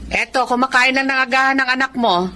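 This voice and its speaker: A woman's voice: high-pitched, brisk, bright, forceful tone. An actress playing an anxious, protective mother, melodramatic and emotional, in a Filipino radio drama. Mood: worried, resigned. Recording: noisy background ambience.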